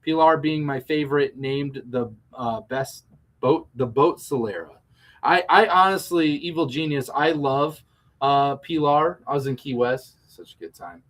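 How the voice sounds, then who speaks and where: A fairly high-voiced man co-hosting a relaxed live chat show. He's upbeat and talkative, reading viewer comments aloud between his own remarks. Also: measured pace. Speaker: co-host. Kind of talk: casual livestream chat.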